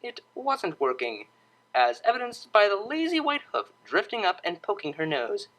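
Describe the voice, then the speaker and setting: A story narrator with a bright, forward tone and very expressive pitch movement. The reading is scripted and dramatic, like an audiobook, at a middle pitch. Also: moderate pace, poor audio.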